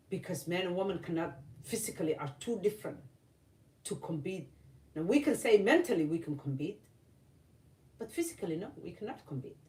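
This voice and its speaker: A woman from Somalia, giving earnest opinion commentary to camera, halting with many fillers and restarts. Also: fairly low pitch, darkish tone, distant mic. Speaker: vlogger. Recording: roomy, voice from off-mic.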